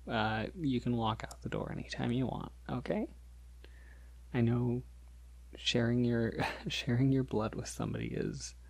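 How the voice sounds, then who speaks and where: Man playing a soft, breathy, unhurried polite vampire. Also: medium pitch. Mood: gentle, reassuring. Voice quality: breathy, often dropping into whisper. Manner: hesitant, reassuring, softly chuckling. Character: polite, awkward, gentle young male vampire. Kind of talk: ASMR roleplay, soft-spoken and intimate.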